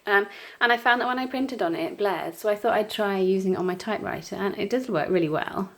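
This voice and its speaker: Croaky woman with a cold chatting. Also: medium pitch, fast. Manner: rambling, apologetic, frequent ums. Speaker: planner vlogger. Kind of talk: casual chat over crafting. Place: home craft desk, indoors.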